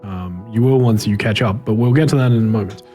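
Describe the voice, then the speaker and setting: A man running a tabletop roleplaying game as game master, explaining and narrating in hesitant, halting phrases. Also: lowish voice, mellow, soft background music.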